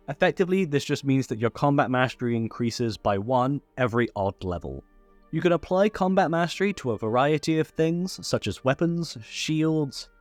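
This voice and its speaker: Man narrating a tutorial, lively intonation. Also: medium pitch. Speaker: tutorial host. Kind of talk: scripted explainer narration. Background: background music.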